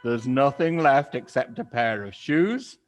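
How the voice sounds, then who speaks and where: A man voicing a cruel, gloating old husband who taunts slowly and mockingly. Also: mid-range voice, animated, faint music behind, voice pushed up, close to an everyday voice. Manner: slow, drawn-out and mocking.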